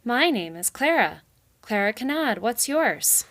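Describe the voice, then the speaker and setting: A woman's voice: fairly high pitch, sing-song, unhurried, a bit bright, voice pushed up. Woman reading as a breezy, self-assured lady beaver. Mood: confident. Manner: breezy, unapologetic retorts. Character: brisk, self-assured female beaver, dismissive of complaints.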